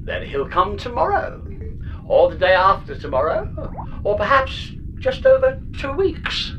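A woman's voice: mid-range voice, big pitch swings, a little slow, highs cut off, faint music behind. A woman playing a chatty, flippant and reckless spaceship pod computer in a comedy audio drama, talking in a breezy, mock-grand theatrical way. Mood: blithely evasive.

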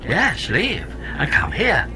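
A man's voice: a little high, wildly swooping pitch, takes it slowly, noisy background, full character voice. A man playing a chatty, smug robot pod sidekick in a comedy audio drama, explaining things with wry, wordy theatrical flourishes. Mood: gleeful explaining.

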